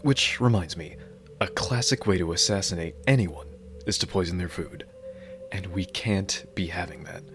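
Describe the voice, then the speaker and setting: A man playing a dorky, lovestruck bodyguard in an ASMR roleplay, speaking in an awkward, halting, earnest way. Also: sits low, over music. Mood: awkward, eager.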